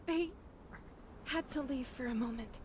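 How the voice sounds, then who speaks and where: Anime game healer, young female: caring, soft-hearted, earnest, high register. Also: measured pace, slight background noise. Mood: worried. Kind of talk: anime-style game dub acting.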